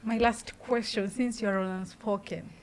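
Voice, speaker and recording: A Kenyan woman hosting a podcast, with a loud voice and a loud laugh, talking casually and rambling with many restarts. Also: medium pitch, steady tone, unhurried, a little hiss or hum. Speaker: podcast host. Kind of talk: casual interview chat.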